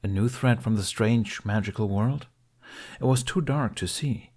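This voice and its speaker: A man reading a fantasy story aloud as narrator, in an unhurried, measured way. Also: lowish voice, darkish tone. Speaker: fan fiction audiobook reader.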